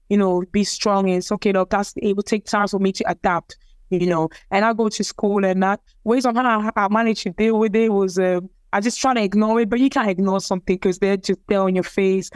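A woman's voice: medium pitch, clear, resonant tone, no room sound. A young woman from Cameroon whose first language is French, telling her story in a casual interview, hesitant and repeating words with many fillers. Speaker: interviewee, athlete.

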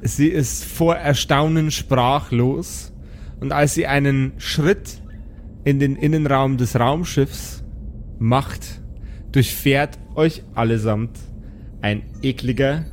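A man's voice: mid-range voice, slow pace, a little hiss or hum. A man narrating an improvised tabletop audio play as game master.

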